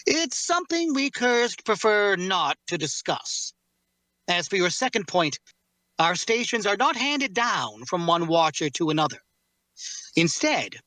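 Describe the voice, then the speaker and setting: A man playing a formal, guarded cursed warrior in an audio drama table read, speaking courteously in measured, deliberate phrases. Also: sits high, pushed and bright, dry recording, heavily acted, low-quality recording.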